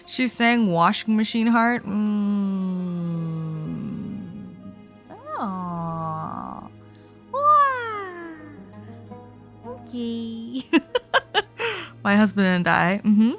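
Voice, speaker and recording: A woman's voice: mid-range voice, big pitch swings, very slow, long pauses, fairly bright tone, soft background music. A young woman livestreaming a game, chatting casually and reacting in an informal, giggly, sweary way.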